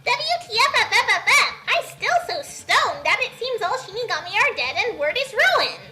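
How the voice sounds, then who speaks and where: A woman's voice: extremely high pitch, big pitch swings, normal speed, bright, forceful tone, faint music behind, voice pushed up, heavily acted. A woman voicing a boastful, pompous young demon schemer in a comic story reading. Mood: grandiose. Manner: pompous, brash villain declarations. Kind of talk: comic fan fiction dramatic reading.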